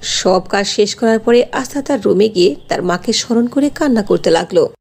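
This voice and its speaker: Female storyteller, mid-pitched and expressive, a touch breathy, reading cartoon narration. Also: normal speed.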